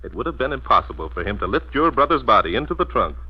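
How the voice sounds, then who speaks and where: An actor playing a calm, dryly amused, reasonable detective in an old radio mystery. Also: male voice, mid-range voice, fast talker, husky. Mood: measured. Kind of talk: 1940s radio mystery acting. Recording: dull vintage radio recording.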